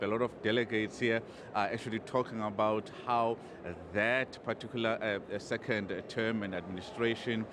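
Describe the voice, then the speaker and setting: A male business reporter interviewing a guest live, halting often with many fillers. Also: fairly low pitch. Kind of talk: live outdoor news interview. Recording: street noise behind.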